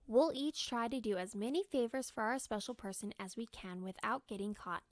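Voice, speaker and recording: A woman voicing an encouraging young mother in a children's storybook, earnest and gently persuasive. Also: on the high side, moderate pace, no echo, natural voice. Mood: reassuring. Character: warm, encouraging young mother explaining a secret game.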